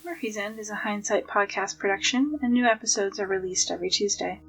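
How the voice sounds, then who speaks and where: A woman reads the end credits of a podcast in a steady, matter-of-fact tone. Her voice sits at a mid pitch. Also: fairly quick, a little hiss or hum, rough recording. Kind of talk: scripted credits read.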